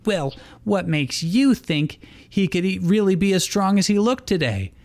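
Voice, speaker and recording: A man's voice: high-pitched, lively intonation, normal speed, a little mellow, pitched above the performer's own voice. A man voicing a fiery, spiteful young woman trainer with irritable, snarling retorts in a story reading. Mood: scornful.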